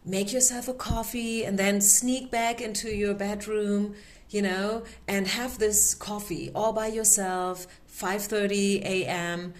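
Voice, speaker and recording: Woman, German accent, card-reader host; slow and reassuring, echoey room. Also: mid-range voice, steady tone. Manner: unhurried, gently reassuring, frequent filler words. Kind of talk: tarot reading vlog.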